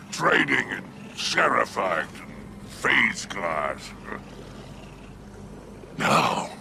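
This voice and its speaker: A sly alien crime boss from a video game, gravelly and slow. He is pompous and sardonic, swinging wildly in pitch over loud music. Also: background noise, exaggerated voice. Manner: florid, drawling, sardonic. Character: sly, pompous alien crime-lord spider, theatrical and scheming. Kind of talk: video game cutscene acting.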